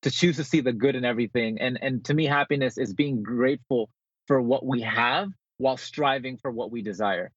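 Fast-talking man on a dull remote call. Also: medium pitch, husky, no room sound. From born in the Philippines, grew up in New Jersey. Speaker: interview guest, motivational speaker and author. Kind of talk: conversational motivational interview.

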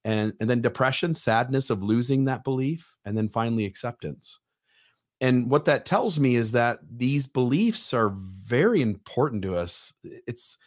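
A man hosting a casual podcast chat, talking in a loose, conversational way with frequent restarts. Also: mid-range voice. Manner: conversational, restarts, quotes others animatedly.

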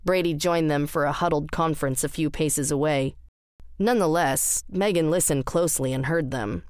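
A woman's voice: low-pitched, normal speed, darkish tone, no room sound, clean studio sound. A woman reading scripted fiction as the narrator of an audio drama.

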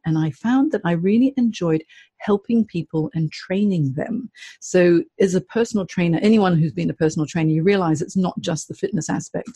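A middle-aged woman from Hong Kong, a career coach, telling her story in a chatty, animated way as an interview guest. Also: on the low side, slightly rough, no echo. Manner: chatty, animated, laughs often.

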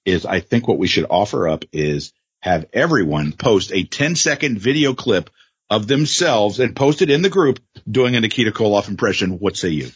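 Animated husky man hosting a podcast. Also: fairly low pitch, moderate pace, dull audio. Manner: animated, jokey, many fillers and self-corrections. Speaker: podcast co-host. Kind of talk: casual wrestling podcast chat.

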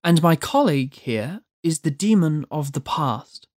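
A serene female angel from a storybook, as a narrator puts her on. The voice is delicate and composed, with measured phrasing. It sounds close and dry. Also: mid-range voice, lively intonation, a little mellow, no room sound. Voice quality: delicate, glittery.

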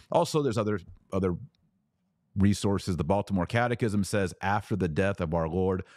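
A man's voice: deep voice, no echo, studio quality. A man speaking as a podcast host, teaching about religion conversationally and answering questions in a didactic, emphatic way.

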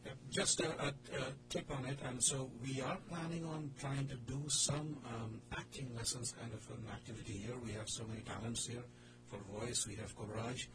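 A man casually hosting a drama club radio talk, halting as he goes. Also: medium pitch, fairly even intonation. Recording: loud noise and hum.

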